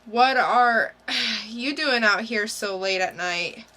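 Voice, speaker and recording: A woman acts out a nervous young comic book hero. The delivery is slow and unsure, with a bright, fairly high voice. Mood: hesitant, surprised. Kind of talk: amateur comic book dramatic reading.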